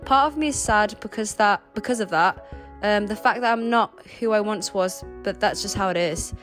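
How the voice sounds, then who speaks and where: A woman from Thailand whose first language is Thai, narrating a voice-over in a calm, reflective, measured way. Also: mid-range voice.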